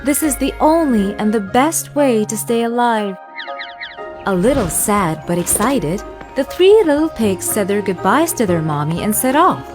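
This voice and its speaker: A woman reading a scripted children's fairy tale as storyteller, with expressive storybook phrasing. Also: mid-range voice. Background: music.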